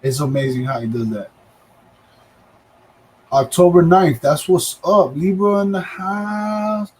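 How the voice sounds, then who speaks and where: Joking man in his thirties, lively. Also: high-pitched, slow, a little hiss or hum, low-quality recording. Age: 30s. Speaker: livestream co-host. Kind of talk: casual livestream chat.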